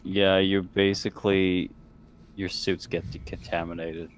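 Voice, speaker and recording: A man's voice: low-pitched, flat delivery, a little slow, slight background noise. A man chatting casually as a player in a tabletop roleplaying game. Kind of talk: casual game-session chat.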